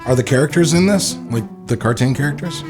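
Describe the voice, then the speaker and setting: An American man co-hosting a podcast, chatting casually in an animated way and swearing freely. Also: medium pitch, fast, over music. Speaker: podcast co-host. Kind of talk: casual chat.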